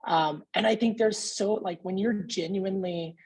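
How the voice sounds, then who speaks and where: Husky conversational woman on a panel. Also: mid-range voice, normal speed, darkish tone. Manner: informal, seeks agreement with frequent tags. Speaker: sales expert panelist.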